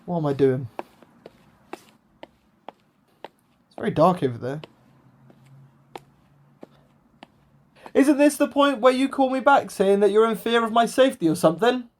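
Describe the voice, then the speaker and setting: A woman's voice: fairly high pitch, big pitch swings, moderate pace, long pauses, a little mellow. A woman acting a cocky, sceptical young adventurer with sarcastic banter in an amateur radio play. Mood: mocking. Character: cocky, sceptical, bold young adventurer who loves to mock.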